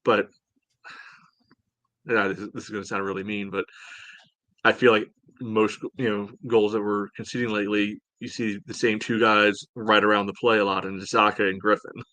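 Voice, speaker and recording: Male podcast co-host, lowish voice, close dry sound, conversational and hedging as he breaks down play. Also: level tone, normal speed, bright, forceful tone, no echo. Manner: hedging, conversational, frequent "you know". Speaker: podcast co-host, soccer fan. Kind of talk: casual sports analysis chat.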